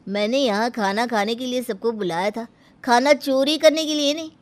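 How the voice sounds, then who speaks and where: A woman's voice: fairly high pitch, normal speed, natural voice. A woman voicing an indignant bride's brother. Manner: indignant, scolding.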